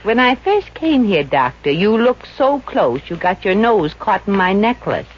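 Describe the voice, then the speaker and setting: A woman's voice: medium pitch, big pitch swings, a little slow. Brassy, wisecracking 1950s radio showgirl. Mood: sassy. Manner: breezy, slangy, sassy. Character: brassy, wisecracking showgirl, confident and street-smart. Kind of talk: 1950s radio comedy acting. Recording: dull, noisy old-time radio recording.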